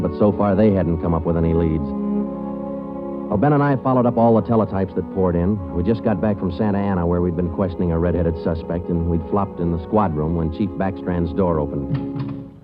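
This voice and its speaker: An actor playing a clipped, matter-of-fact police sergeant who narrates the case in a 1940s radio drama. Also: male voice, deep voice, fairly quick, phone-quality sound, over music, lightly acted. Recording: old radio broadcast, band-limited.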